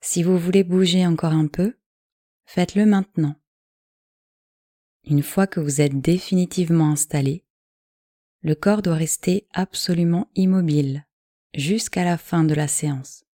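A woman with a low, mellow voice leads a guided relaxation. She gives calm, measured instructions in short phrases, very slowly. The recording is dry and close. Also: dry recording. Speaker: yoga nidra guide.

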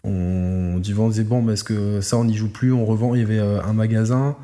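A man's voice: lowish voice, steady tone, brisk, mellow. A man co-hosting a podcast, chatting casually and rambling with many restarts. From southern France. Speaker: podcast co-host. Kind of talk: casual chat.

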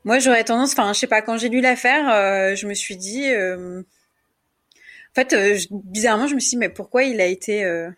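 A woman's voice: medium pitch, normal speed, a bit bright. A woman co-hosting a casual discussion podcast, talking hesitantly with many fillers and restarts. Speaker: podcast co-host.

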